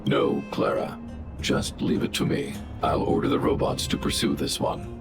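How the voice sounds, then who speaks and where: A man voicing a huge, stoic robot guardian in a video game, gravelly, measured and formal, protective and logical. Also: music in the background, natural voice. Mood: stern, protective.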